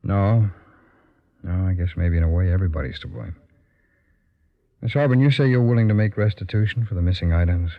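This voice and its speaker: A kindly, matter-of-fact detective played by a man from an old radio play. His voice is very low and brisk, heard on a dull recording. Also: natural voice. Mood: steady, sympathetic. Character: steady, sympathetic male police detective. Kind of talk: old-time radio drama acting.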